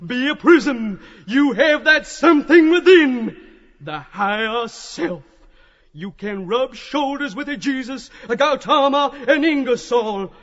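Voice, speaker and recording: A man's voice: very high-pitched, lively intonation, slow, fairly bright tone, voice pushed up, exaggerated voice. A man voicing a fervent evangelist prophet who hawks salvation in folksy, hectoring showman's patter, in an audiobook drama. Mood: exhorting. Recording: muffled audio in a long echoing hall.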